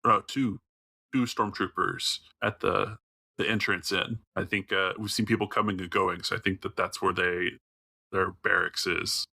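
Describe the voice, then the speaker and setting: Man doing a halting, low-voiced young alien prisoner. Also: steady tone, gravelly, pushed and bright, full character voice. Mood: hesitant, helpful. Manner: halting, hesitant, stammering. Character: timid young male Mirialan alien prisoner, friendly.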